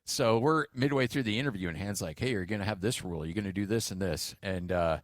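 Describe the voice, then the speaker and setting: Low-voiced American sports radio host. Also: male voice, quick pace, no echo, studio quality. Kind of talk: casual sports radio banter.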